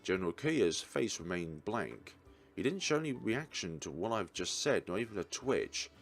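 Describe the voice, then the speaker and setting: A man reading a visual novel aloud as a let's player, with deliberate, theatrical narration. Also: deep voice, very animated.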